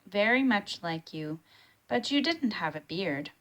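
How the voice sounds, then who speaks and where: A patient old storybook grandfather, voiced by a woman reader. He talks slowly, with dry, assured humour. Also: medium pitch, lively intonation, a bit bright. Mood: wry. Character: big bearded old grandfather, wry and patient.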